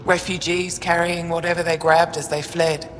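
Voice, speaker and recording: An actor playing a wistful alien refugee trader in a video game, speaking in a measured way. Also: medium pitch, little pitch movement, in a large hall, a little hiss or hum, natural voice. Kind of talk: video game dialogue acting.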